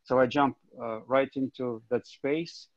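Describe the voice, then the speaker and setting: A man's voice: medium pitch, steady tone, unhurried, dry recording. Man from Ukraine on a dull call. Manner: loose, filler-heavy, self-deprecating. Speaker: tech coordinator. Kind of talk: casual video call intro. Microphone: video call. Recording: dull dry call audio.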